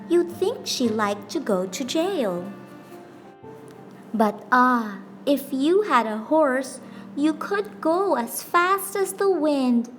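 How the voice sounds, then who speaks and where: Slow-paced woman reading a storybook. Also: fairly high pitch. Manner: expressive, deliberate phrasing with pauses for emphasis. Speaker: teacher reading a picture book aloud. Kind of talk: scripted storybook narration. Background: background music.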